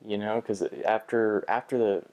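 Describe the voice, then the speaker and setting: A man's voice: on the low side, steady tone, moderate pace, darkish tone, rough recording. A young man talking through a bad job interview in a personal vlog, hesitant, with frequent restarts and fillers. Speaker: vlogger.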